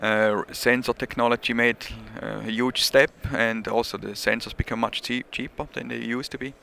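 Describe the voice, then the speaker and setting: A man's voice: mid-range voice, fairly even intonation, moderate pace, gravelly, bright, forceful tone, a little hiss or hum. A man with a Swiss accent, not a native English speaker, being interviewed about his product at a trade show and talking in a hesitant way, with many fillers and restarts. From Switzerland. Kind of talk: casual interview.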